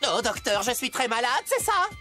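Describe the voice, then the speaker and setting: A woman's voice: on the high side, big pitch swings, brisk. A woman voicing a mischievous, whiny young male monkey in a French children's cartoon, exclamatory and theatrical. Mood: whiny, frightened. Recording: music behind the voice.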